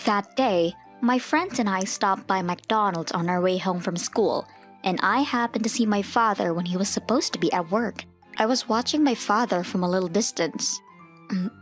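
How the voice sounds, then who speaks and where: Woman, scripted first-person narration, comic dub storyteller, average pitch, faint music. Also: animated, normal speed.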